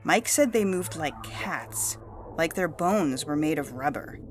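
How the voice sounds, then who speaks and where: A woman's voice: low voice, expressive, unhurried, over music. A woman playing a self-righteous, suspicious suburban mother, telling her tale in a gossipy, indignant way for an audio story. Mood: alarmed. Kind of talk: scripted audio fiction monologue.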